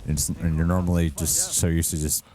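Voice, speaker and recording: A man's voice: low voice, level tone, fairly quick, dark tone. A man co-hosting a reaction show, casually commenting on a game story as it plays.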